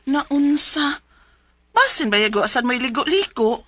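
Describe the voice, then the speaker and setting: A bright-voiced, fussing neighbor lady. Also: high-pitched, very animated, normal speed, soft background music, heavily acted. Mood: fussing, scolding. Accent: Cebuano Filipino. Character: concerned, generous neighborhood woman shopkeeper, mildly scolding. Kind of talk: Filipino radio drama acting.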